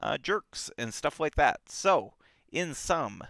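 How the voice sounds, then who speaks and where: A man co-hosting a live book discussion stream, relaxed and blunt, with many fillers. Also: a little high, slow, vocal fry, no room sound, clean studio sound. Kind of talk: casual live-stream chat.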